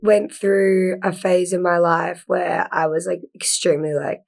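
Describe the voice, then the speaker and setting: A woman's voice: lowish voice, fairly even intonation, measured pace, creaky. A young woman from Australia, an actor talking as a guest in a casual interview, chatty and full of "like". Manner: chatty, lots of "like", laughs easily.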